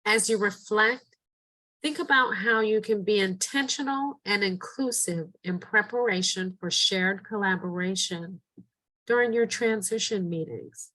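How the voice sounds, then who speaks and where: A woman's voice: mid-range voice, a little slow, sharp tone, low-quality recording. Earnest middle-aged woman presenting training. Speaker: educator and presenter. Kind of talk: scripted training narration.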